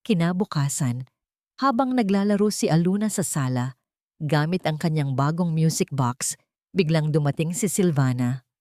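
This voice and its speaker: Mellow woman narrating a romance story. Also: sits low, expressive, normal speed, dry recording, studio quality.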